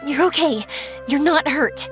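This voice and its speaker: A woman's voice: high-pitched, a little slow. A woman voicing a kind, upbeat young heroine in a video game, friendly and reassuring. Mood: gentle, reassuring. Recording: music under the voice.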